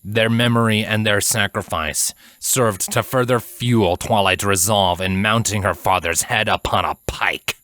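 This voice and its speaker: Bright, low-voiced man narrating fantasy. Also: fairly even intonation, unhurried, hardly any pauses, no echo. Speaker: fan fiction narrator. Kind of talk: dramatic scripted narration.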